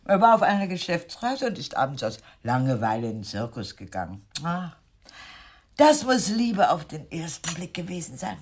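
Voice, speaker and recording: Gushy eccentric old auntie in a radio play, husky voice, pitch all over the place. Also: female voice, big pitch swings, moderate pace, full character voice. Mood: wistful, chatty. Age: older. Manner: gushing, theatrical, breezily insistent. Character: chatty eccentric older aunt, former circus child. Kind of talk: German radio play acting.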